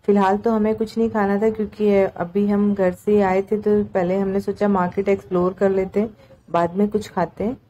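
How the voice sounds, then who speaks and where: Mellow-voiced woman vlogger. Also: medium pitch, little pitch movement, normal speed, lo-fi sound. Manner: chatty, conversational. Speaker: market vlogger. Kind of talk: casual vlog voice-over narration.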